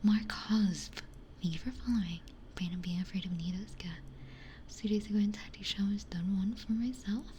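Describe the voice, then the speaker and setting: A woman whispering softly and unhurriedly in casual ASMR chat, musing in short phrases close to a binaural microphone. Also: medium pitch, normal speed. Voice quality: breathy whisper. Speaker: ASMR livestreamer. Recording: close-miked with faint background noise.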